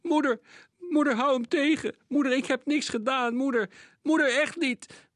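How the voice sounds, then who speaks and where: A man's voice: extremely high pitch, moderate pace, a bit bright, no room sound, clean studio sound, voice pushed up. A man voicing a terrified young man who screams and begs, in a storybook reading. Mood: desperate pleading. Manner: screaming, sobbing pleas. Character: wailing, terrified young man pleading with his father.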